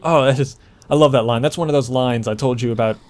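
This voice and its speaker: A man's voice: medium pitch, fast, a little mellow. Casual man giving game walkthrough commentary. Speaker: gameplay walkthrough host. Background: video game ambience.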